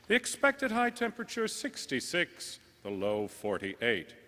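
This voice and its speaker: A man's voice: fairly high pitch, bright, forceful tone. A man playing a formal radio weather announcer in the style of a 1930s broadcast. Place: live on a theater stage. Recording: reverberant theater hall.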